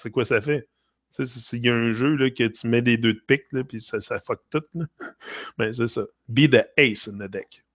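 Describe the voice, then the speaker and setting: A middle-aged man with a Québécois accent chats loosely on a live stream, hopping between French and English. He rambles and fills his talk with "là", and his voice sits at an ordinary pitch. The sound is dry and close, with no room echo. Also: a bit bright. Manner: rambling, talks to chat viewers by name, frequent "là" fillers. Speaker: livestream host.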